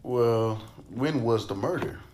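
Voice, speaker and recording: A man's voice: mid-range voice, slow, a little mellow, a little hiss or hum, close to an everyday voice. A man playing a grumpy old man in an amateur puppet show, speaking tersely in a plodding way. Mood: gruff.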